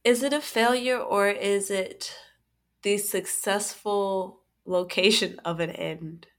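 Woman podcast co-host, stuffy with a cold, animated casual delivery. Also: medium pitch, takes it slowly. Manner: animated, laughs often, restarts phrases. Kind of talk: casual chat.

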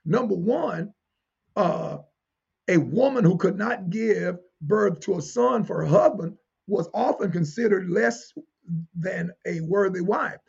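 Husky, high-pitched male preacher. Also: a little mellow, highs cut off. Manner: emphatic, deliberate, repeats key phrases, rhetorical questions. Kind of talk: teaching sermon to listeners.

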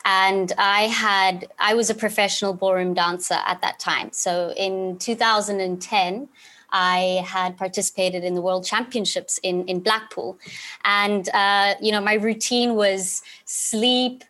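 A woman's voice: medium pitch, steady tone, a little slow, pushed and bright. A woman in her twenties from Zimbabwe, answering interview questions in an earnest, reflective way. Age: 20s. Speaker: podcast guest, alumna.

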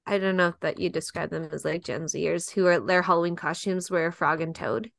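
A woman's voice: lowish voice, steady tone, a little fast, creaky, dry recording, studio quality. A young woman who is a guest on a podcast, chatting casually, filling her speech with likes and ums and laughing easily. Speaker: podcast guest, dance partner.

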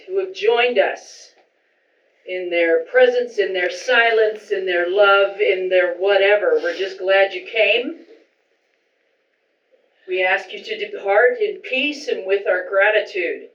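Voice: mid-range voice, slow, a bit bright. A person leading a group ritual as seance leader, recorded dull and distant in a roomy space. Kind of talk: guided ritual, incantation.

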